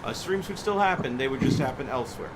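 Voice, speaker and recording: A man with a mid-pitched voice hosts a gaming livestream. He talks in a measured, diplomatic, explanatory way, with clear vehicle noise running behind him. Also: lively intonation. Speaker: livestream host. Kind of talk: gaming livestream chat with co-players.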